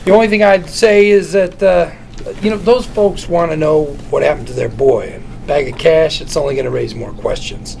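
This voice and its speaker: A glib, jittery male lawyer wheedles and hedges in a slightly high, creaky voice. Clear room noise surrounds the reading. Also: moderate pace, a little mellow. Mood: uneasy. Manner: wheedling patter full of hedging stammers. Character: glib, nervous, fast-talking male lawyer. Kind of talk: actor at a script table read. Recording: noisy room ambience.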